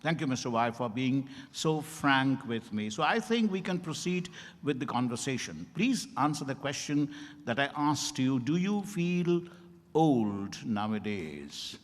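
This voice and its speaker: An actor playing a courteous, insistent doctor of law in a theatrical stage reading. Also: male voice, medium pitch, sing-song. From India. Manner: deliberate, questioning, theatrical. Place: event hall at a hotel. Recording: echoey hall with long reverb.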